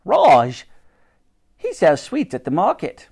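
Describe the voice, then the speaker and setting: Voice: mid-range voice, wildly swooping pitch, takes it slowly, creaky, a little mellow, husky, voice pushed up, exaggerated voice. A storybook narrator voicing a dotty, good-natured lady who exclaims in a flustered way. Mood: excited.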